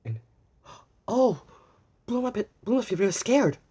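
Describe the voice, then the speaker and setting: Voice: mid-range voice, very animated, unhurried, warm tone, slightly rough. A person reading a picture book aloud to young children, stumbling and repeating words now and then, with exaggerated wonder. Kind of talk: children's read-aloud, addressing young viewers with questions.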